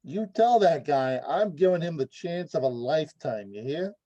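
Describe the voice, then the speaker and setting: A man's voice: fairly high pitch, lively intonation, moderate pace, mellow, highs cut off. A man playing a brash, jovial young businessman on the telephone, in 1940s radio drama style. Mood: boastful. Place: indoors, recorded over a video call.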